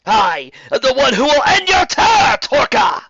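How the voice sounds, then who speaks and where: A man voicing a proud, heroic female pegasus barbarian in a fantasy story, declaiming loudly. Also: very high voice, very animated, breathy edge, lo-fi sound, pitched above the performer's own voice, heavily acted. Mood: defiant, shouting. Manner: loud, declamatory, drawn-out boasting. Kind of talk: amateur fantasy storybook reading.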